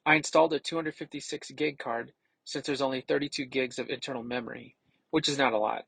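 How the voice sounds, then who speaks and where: Gravelly, husky man narrating a demo. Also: medium pitch, fairly even intonation, fast talker, fairly bright tone, dry recording, low-quality recording. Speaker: tech reviewer. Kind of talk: scripted product demo narration.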